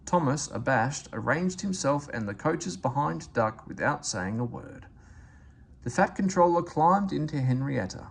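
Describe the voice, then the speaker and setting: A man reads a children's storybook aloud as narrator. His voice is fairly high for a man and very lively, with a creaky edge, recorded with faint background noise. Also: unhurried.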